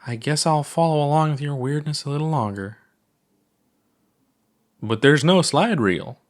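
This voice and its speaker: A skeptical, curious alien companion, played by a male reader in a storybook tale. The voice is raised in pitch, with a candid, questioning delivery that sounds easily puzzled. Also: a little slow, close to an everyday voice. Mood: doubtful.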